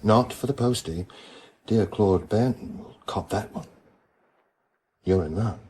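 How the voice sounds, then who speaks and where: A man playing a weary, guarded detective in a radio crime drama, with a husky voice and a terse, dry manner. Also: lowish voice, a little slow, warm tone, a little hiss or hum, close to an everyday voice. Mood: quietly threatening.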